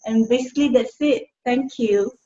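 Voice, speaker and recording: A woman's voice: fairly high pitch, moderate pace. A woman with a Malaysian accent making casual impromptu remarks, hesitant and repeating her words. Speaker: meeting participant. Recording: muffled, echoey, noisy video call.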